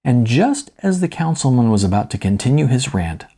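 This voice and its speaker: A man narrating a first-person story in a wry, colloquial, emphatic storytelling manner, with a slightly husky voice. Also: medium pitch, very animated, a little fast, dark tone. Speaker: author reading own novella.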